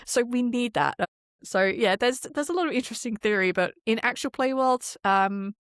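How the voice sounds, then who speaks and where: A woman's voice: on the high side, normal speed, fairly bright tone, no room sound, studio quality. A woman talking casually as an interview guest, explaining how audio production works and often voicing imagined quotes as she goes.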